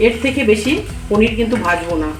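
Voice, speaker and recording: Female cooking host, higher-pitched, measured instructional voice-over in short phrases, faint music underneath. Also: expressive. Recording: roomy echo, music behind.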